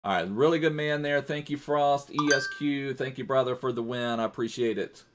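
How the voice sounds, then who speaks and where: A man's voice: mid-range voice, normal speed. A man streaming a football video game live, chatting casually in a rambling way and thanking viewers as he plays.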